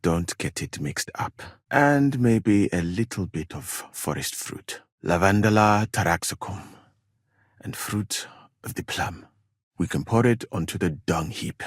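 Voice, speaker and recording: A learned, gallant medieval healer from Mali for a video game. His voice is low and husky, with lively swings in pitch. He chooses his words formally and courteously, with a gentle manner. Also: no room sound, studio quality, full character voice. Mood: musing, instructive. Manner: courteous, measured, formal, careful wording. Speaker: video game character, physician. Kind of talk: acted video game dialogue, formal phrasing.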